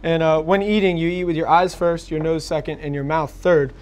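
A chef talks fast through a cooking demo, his voice sitting a bit high for a man. He explains step by step and fills pauses with "uh" and "um". He is on a lapel mic in a TV studio kitchen. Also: steady tone, darkish tone. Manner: halting, many fillers, explains step by step. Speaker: guest chef. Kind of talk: cooking demonstration.

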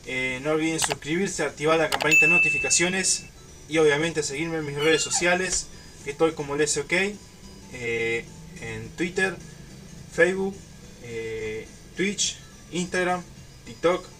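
A man's voice: on the high side, unhurried, pushed and bright, soft background music. Young Uruguayan man, slightly distant mic. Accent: Uruguayan Spanish. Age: young adult. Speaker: YouTube storyteller. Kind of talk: casual storytelling to camera. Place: small room at home.

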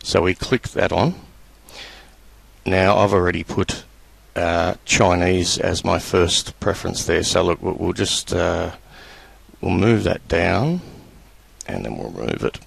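Deep gravelly man walking through a tutorial. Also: dry recording. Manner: relaxed, halting, many fillers. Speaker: tutorial presenter. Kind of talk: screen-recorded software tutorial.

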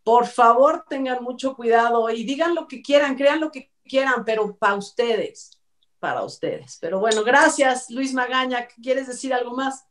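A middle-aged Mexican woman with a high voice talks casually and emphatically. She sounds like a panelist on a video call, set back from the microphone. Her wording is colloquial and admonishing. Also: normal speed. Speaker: talk show panelist. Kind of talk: casual opinionated panel chat. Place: bright living room at home. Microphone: computer mic on video call. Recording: distant mic on video call.

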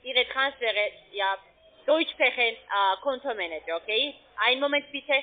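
High-voiced woman, halting, foreign accent. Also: lively intonation. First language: not German. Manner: very halting, broken phrasing. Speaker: call-centre agent. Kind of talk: phone call. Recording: tinny narrowband phone line.

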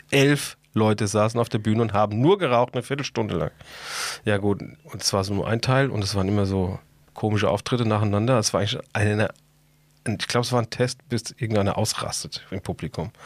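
Husky low-voiced man chatting casually. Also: no room sound. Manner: colloquial, blunt, joking. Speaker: podcast host. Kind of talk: casual podcast chat.

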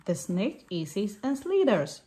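Slow woman reading a children's picture book. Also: mid-range voice, very animated, mellow, slight background noise.

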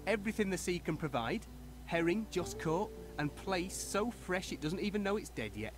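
An actor playing a talkative, hapless fish stall owner in a video game, pitching his wares with a salesman's patter. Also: expressive, normal speed. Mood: salesy. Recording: game audio with music behind.

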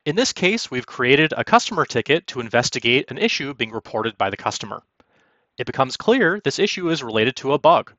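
A man presenting a software walkthrough as polished, instructional scripted narration. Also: medium pitch, no room sound, highs cut off. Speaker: product demo presenter. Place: quiet, dry room, recorded as voice-over.

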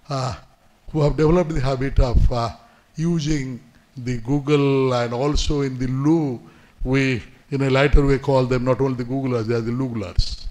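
A man gives a formal commencement address at a podium in a seminar hall, speaking in measured phrases with frequent pauses. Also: medium pitch, darkish tone. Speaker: guest speaker. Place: indoor university seminar hall. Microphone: podium microphone.

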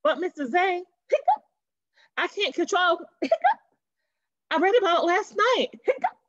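Woman voicing high-pitched flustered little schoolboy. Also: moderate pace, dry recording. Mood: flustered, pleading. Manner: pleading, excitable, exclamatory. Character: earnest, flustered young schoolboy actor prone to hiccups. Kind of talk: children's storybook character voice. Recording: dull, lo-fi webcam audio.

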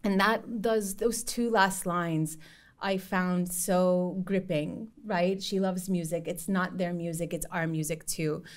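Woman lecturing with a low, conversational tone. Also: steady tone, slow. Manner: conversational, frequent ums and restarts. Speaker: professor giving a lecture. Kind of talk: academic lecture with read-aloud quotes. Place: lecture room with concrete wall. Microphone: podium microphone.

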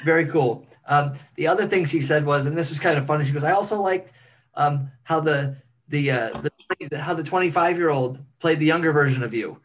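A man casually telling anecdotes in a halting, amused way on a video call. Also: on the high side, fairly bright tone. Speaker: amateur actor. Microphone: computer on a video call.

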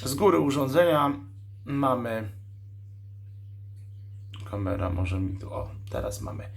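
Male host, mid pitch, plain technical demo delivery with small pauses. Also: lively intonation, gravelly. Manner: matter-of-fact, technical, listing specs; pauses mid-sentence while handling the device. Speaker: radio shop host reviewing a walkie-talkie. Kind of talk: unscripted product demonstration.

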